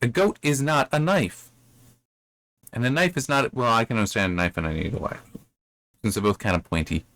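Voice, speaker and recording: A man giving casual, unscripted commentary on a story as a reviewer, in a bemused, halting, rambling way that often repeats words. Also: medium pitch, a bit bright, dry recording. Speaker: book reviewer reading and commenting.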